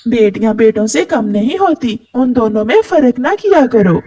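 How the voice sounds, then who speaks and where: A man's voice: very high-pitched, big pitch swings, normal speed, dark tone, lo-fi sound, slight background noise, voice pushed up. A man voicing a wise female sparrow in a cartoon, earnestly admonishing a neighbour. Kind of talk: cartoon moral story voice.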